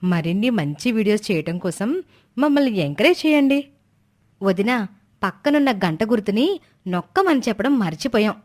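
A woman's voice: mid-range voice, sing-song, moderate pace, pauses often, darkish tone. A woman presenting a scripted appeal to viewers of a story channel.

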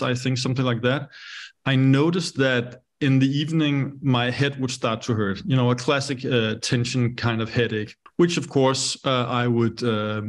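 Danish man in his thirties, guest on a video call, halting delivery. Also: medium pitch. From Denmark. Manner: hesitant, many fillers and restarts. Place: bright home room.

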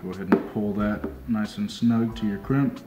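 A young man working as a fishing deckhand calmly walks through a hands-on demonstration step by step, often saying "just like so" as he works. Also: fairly low pitch, very noisy. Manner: calm, unhurried, repeats "just like so" while working with his hands. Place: small indoor tackle shop workbench. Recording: roomy sound with music underneath.

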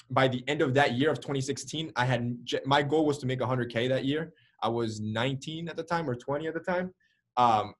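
A young man in his early twenties with a slightly husky voice tells his story on a video call. He talks with lively energy, waving his hands, and fills his speech with "like" and "right?". The sound comes through a webcam in a plain indoor room. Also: medium pitch. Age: early 20s. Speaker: interview guest. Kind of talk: candid storytelling.

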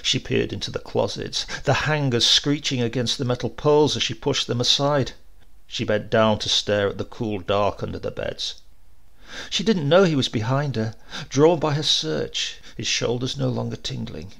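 A man reading a literary supernatural tale aloud in a measured, expressive storytelling manner. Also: mid-range voice, creaky. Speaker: story reader.

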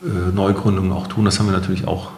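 A middle-aged man talks through his answers as an interview guest. His voice sits fairly low, and the room adds a clear echo. The style is conversational. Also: little pitch movement, fast, darkish tone. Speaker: guest, company co-founder. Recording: roomy echo.

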